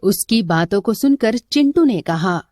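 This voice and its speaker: Woman reading out a story, unhurried, mid pitch, close dry recording. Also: lively intonation, darkish tone, dry recording. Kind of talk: scripted story narration.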